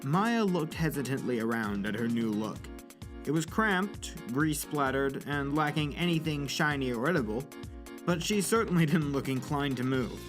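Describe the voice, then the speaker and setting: A man's voice: on the high side, animated, normal speed, over music. A man narrating a scripted story for an audio drama.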